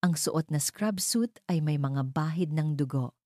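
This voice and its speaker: Female storyteller for scripted audio drama: low-pitched, dark mellow tone, dramatic delivery. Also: unhurried, no room sound, studio quality. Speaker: narrator.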